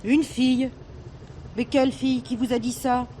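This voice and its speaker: A woman's voice: high voice, level tone, normal speed, dark tone, lightly acted. An actress playing a brusque, streetwise showgirl in a stage play, curt and slangy. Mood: puzzled, offhand. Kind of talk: filmed stage play acting. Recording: hissy 1970s theatre recording.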